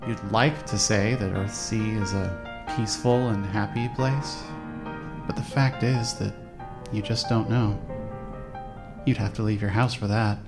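A man's voice: lowish voice, steady tone, a little slow. A man reading scripted second-person story narration for a fan audio drama. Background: clear music.

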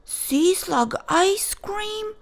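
A woman's voice: very high-pitched, expressive, very slow, creaky, pitched above the performer's own voice, full character voice. A woman voicing a boisterous young boy shark in a children's storybook, exclaiming dramatically and sounding easily confused. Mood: eager, puzzled.

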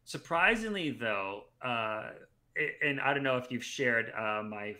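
A man's voice: medium pitch, lively intonation, unhurried, sharp tone. A man in his thirties on a headset, talking sports at home in a casual, analytical way, running through results at length. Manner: talkative, analytical, restarts mid-phrase. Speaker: podcast guest.

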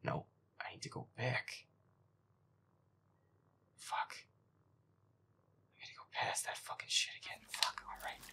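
A man playing a feisty, foul-mouthed boyfriend who mutters exasperatedly while playing a scary video game, often in a breathy whisper. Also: a little slow, long pauses, sharp tone. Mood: grumbling. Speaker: audio roleplay performer. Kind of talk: casual gaming commentary in boyfriend audio roleplay.

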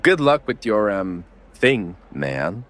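A man's voice: on the low side, big pitch swings, slow pace, a bit bright, full character voice. A man voicing a laid-back teenage boy who teases his friend. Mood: teasing. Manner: teasing, joking.

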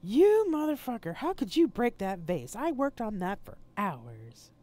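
A woman's voice: big pitch swings, unhurried. Woman doing a shrieking furious wife. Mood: enraged. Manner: shrieking, high and loud. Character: furious woman, volatile and screaming.

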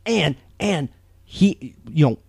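A man's voice: on the high side, animated, slow pace, gravelly, a little mellow, husky, dry recording. An American man co-hosting a podcast in a casual, emphatic, earnest and rambling way. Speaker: podcast co-host. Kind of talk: casual chat.

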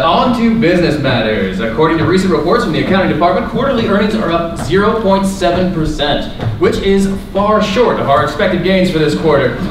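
An actor plays a man in authority addressing a group in a staged radio play. His voice is high and presentational. It comes from far off in a noisy, very echoey hall. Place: stage in a small auditorium.

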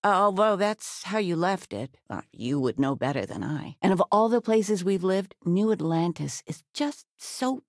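A chatty retired mom from a sci-fi video game, doting on a grown child and gently teasing. Her voice sits a little low with a crackly fry and swings widely in pitch. The recording is dry and close. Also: a little slow, hardly any pauses, dry recording. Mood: affectionate. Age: older. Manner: affectionate, teasing, expressive. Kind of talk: video game character dialogue.

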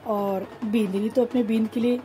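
A woman's voice: fairly high pitch, steady tone, moderate pace, background noise, close to an everyday voice. A woman playing a fond, teasing royal mother talking to her child in a radio drama. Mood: amused, affectionate.

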